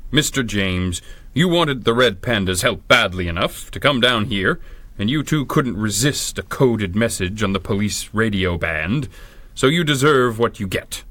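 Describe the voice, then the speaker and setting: An impatient city police chief in 1930s radio drama style. The voice is fairly low, hard-edged and dry, with a gruff, curt, exasperated delivery. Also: male voice, expressive, unhurried, fairly bright tone, no echo. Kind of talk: 1930s radio adventure acting.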